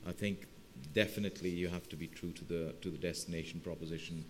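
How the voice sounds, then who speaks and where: A man's voice: deep voice, steady tone, fast. A man giving an unscripted answer as a speaker on a conference panel. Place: conference session in a large room. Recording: hall reverb with faint hum.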